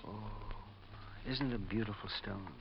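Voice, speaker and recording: A man's voice: on the low side, moderate pace, creaky. An actor playing a funny, meek little old man with a hesitant, rueful manner in a vintage radio detective drama. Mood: wistful, flustered. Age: older. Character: funny little old man, meek, dithering bargain-hunter turned killer. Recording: muffled, noisy old radio recording.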